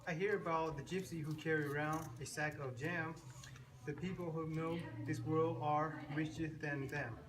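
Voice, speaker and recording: A man's voice: a little high, steady tone, normal speed, natural voice. A man playing a greedy, shrewd cottage sister who speaks in rhyme in an amateur puppet show. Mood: scheming. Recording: noisy live room recording.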